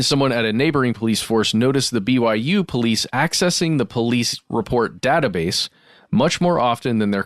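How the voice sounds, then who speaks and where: Male podcast co-host, average pitch, dry recording. Conversational reader who pauses to explain and recap. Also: no room sound. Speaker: podcast co-host reading listener mail. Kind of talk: conversational podcast, reading emails aloud with asides.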